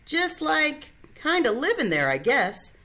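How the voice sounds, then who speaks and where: A woman voicing an earnest young girl in a storybook reading, high and pleading. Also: very animated, a little slow, pushed and bright, heavily acted.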